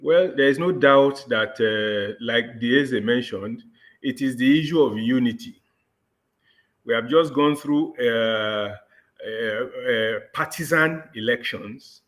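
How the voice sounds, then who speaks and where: A man gives political commentary as a panel guest. His voice sits at an average pitch and moves very slowly. He is deliberate and diplomatic, often pausing to choose his words. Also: a bit bright.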